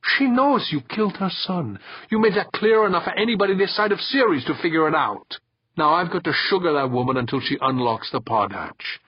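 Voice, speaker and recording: A sneering space-pirate boss played by a male audiobook narrator barks scornful orders. The voice is husky and raised in pitch, in a very dry recording. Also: expressive, normal speed, dry recording, dull audio. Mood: insistent, sarcastic. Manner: scornful, commanding grumble.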